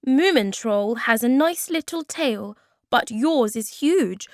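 A woman's voice: a little high, animated, slow, no room sound, studio quality, voice pushed up. A woman voicing a blunt, bossy little male creature in a storybook.

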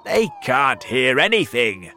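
A man voicing a cocky, mischievous centipede in a children's story, loud, brash and taunting. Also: a little high, expressive, unhurried, a little hiss or hum, pitched above the performer's own voice. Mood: mocking bravado.

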